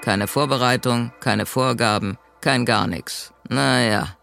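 A man's voice: medium pitch, slow pace, vocal fry. Wry male announcer over music. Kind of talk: scripted show intro.

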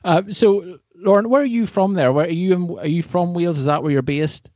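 A man's voice: high-pitched, a little fast, a little mellow, no echo, lo-fi sound. A man hosting a podcast, chatting informally and asking questions in a friendly, conversational way. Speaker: podcast host. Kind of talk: casual podcast interview chat.

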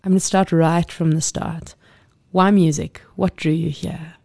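Woman podcast host, gravelly and mellow; encouraging interviewer. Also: on the low side, flat delivery, measured pace, no echo, studio quality. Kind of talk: scripted intro and interview questions.

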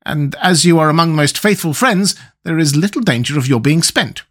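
A man voicing a pompous, educated male insect who speaks quickly and grandly. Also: on the high side, animated, fairly bright tone. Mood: impressive.

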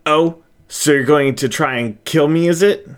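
A man's voice: moderate pace, clean tone, lightly acted. A man voicing a smug squirrel thief in a story, blustering, cocky and nervous underneath. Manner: blustering, pitched up.

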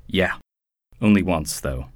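A man playing a nervous, hapless hired pawn held prisoner in an audio drama, speaking haltingly and with resignation. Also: low-pitched, expressive, dry recording, clean studio sound, full character voice. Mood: resigned, hesitant. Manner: hesitant, halting.

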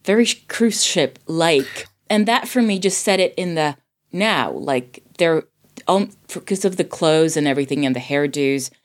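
Woman with a low voice, informal podcast-style film chat, thinks out loud and rephrases often. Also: lively intonation, moderate pace. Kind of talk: casual film discussion.

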